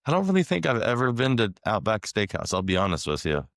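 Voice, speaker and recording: A man co-hosting a sports podcast, laid-back in casual chat, his voice slightly raspy from a cold. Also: fairly low pitch, huge pitch swings, quick pace, no room sound, studio quality. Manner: laid-back, reads out stats. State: coming down with a cold. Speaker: podcast co-host.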